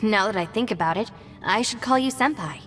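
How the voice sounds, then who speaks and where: A woman voicing a calm, practical young deputy in a video game, composed and matter-of-fact, with long reverb. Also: mid-range voice, brisk, natural voice. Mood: polite, friendly. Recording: long reverb, music underneath.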